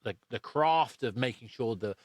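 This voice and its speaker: A man's voice: mid-range voice, animated, normal speed, husky, no echo, studio quality. A man hosting a podcast, interviewing a guest in a conversational way. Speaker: podcast host.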